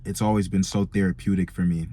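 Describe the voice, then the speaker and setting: A man's voice: low voice, fairly even intonation, fast talker, dark tone. A nineteen-year-old man with an American accent talking casually in a motivational vlog, slangy and speaking directly to his listeners. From Nigerian-born, grew up in America from age four. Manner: slangy, direct address to young men, "bro" and "man". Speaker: motivational vlogger.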